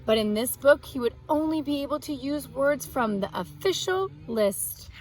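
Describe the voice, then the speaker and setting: High-pitched woman reading aloud to children. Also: normal speed, rough recording. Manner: expressive, explains words simply for young children. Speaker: children's read-aloud host and art teacher. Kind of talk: reading a picture book aloud to children, with asides.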